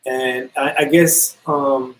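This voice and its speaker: A man's voice: mid-range voice, level tone, slow pace, low-quality recording. Man from New York, repeating himself. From New York City. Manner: repeats words, restarts phrases. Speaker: podcast co-host. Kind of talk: casual conversation.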